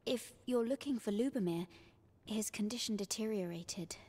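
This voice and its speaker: A woman voicing a husky stern abbey attendant. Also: medium pitch, normal speed, a little mellow, close to an everyday voice. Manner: formal old-fashioned speech, firm.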